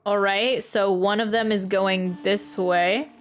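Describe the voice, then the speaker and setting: A woman's voice: medium pitch, steady tone, slow pace. A woman giving casual, chatty live commentary as a game streamer, thinking aloud as she plays. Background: video game music.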